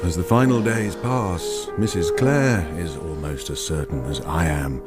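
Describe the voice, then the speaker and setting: Very deep brooding narrator over music. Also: male voice, measured pace. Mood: brooding, ominous. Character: brooding, all-knowing male storyteller. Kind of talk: dramatic scripted narration. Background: loud music.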